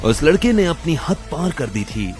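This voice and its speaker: A man's voice: fairly high pitch, very animated, moderate pace. A man narrating a scripted Hindi audio drama as a dramatic storyteller.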